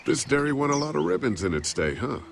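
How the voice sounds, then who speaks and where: An actor playing a level-headed, wary American survivor in a video game, speaking in a measured, questioning way. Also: male voice, mid-range voice, sing-song, vocal fry, a little hiss or hum. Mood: friendly, curious. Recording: game soundtrack, music and birds behind.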